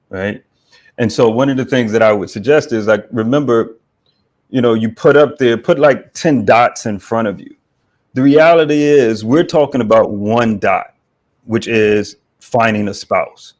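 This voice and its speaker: A man on a podcast, explaining things calmly and tagging lines with "right?". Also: medium pitch. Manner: measured, explanatory, frequent "right?". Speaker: podcast guest. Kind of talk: reflective podcast talk.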